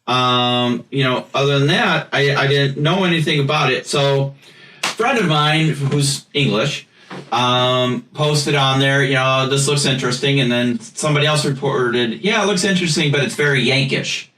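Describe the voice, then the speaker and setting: A man's voice: medium pitch, moderate pace, bright, forceful tone, echoey room, far from the mic, low-quality recording. A man with a Chicago accent hosting a casual podcast chat, laughing often and rambling in a self-mocking way. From United States. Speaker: podcast host.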